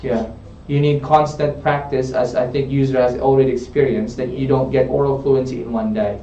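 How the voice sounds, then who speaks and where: A man's voice: mid-range voice, steady tone, brisk, dark tone, slight background noise. A man working as an English tutor, coaching a student in a studio and often checking in with "okay?". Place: studio room. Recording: roomy, distant, dull studio sound.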